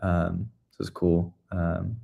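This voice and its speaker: A low-voiced man gives an informal recap as co-presenter of a community meeting. He speaks hesitantly and haltingly, piecing his phrases together loosely as he goes. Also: little pitch movement, creaky, lo-fi sound. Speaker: community town hall co-presenter.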